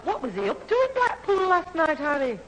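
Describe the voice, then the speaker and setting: A woman playing a brisk, scolding middle-aged mother in a radio sitcom. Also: very high-pitched, big pitch swings, heavy background noise. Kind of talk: 1970s radio sitcom acting. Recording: old radio recording, reverberant hall.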